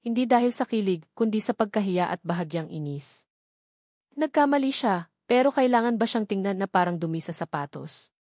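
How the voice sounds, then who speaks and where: A woman's voice: medium pitch, lively intonation, normal speed, darkish tone, no echo, studio quality. A woman with a Filipino accent reading a scripted romantic drama as its storyteller. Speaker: narrator.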